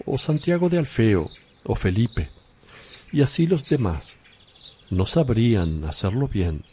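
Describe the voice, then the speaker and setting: An actor playing a calm, solemn male religious teacher who speaks in deliberate phrases, in a radio drama. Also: on the low side, a little hiss or hum. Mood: calm, reassuring. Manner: slow, deliberate, preaching phrasing.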